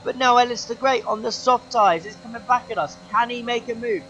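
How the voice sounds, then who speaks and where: A young man commentating his own sim race, his voice going hoarse, excitable and shouting at the big moments. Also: very high-pitched, a little fast, lo-fi sound, a little hiss or hum. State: losing his voice. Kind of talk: gaming commentary.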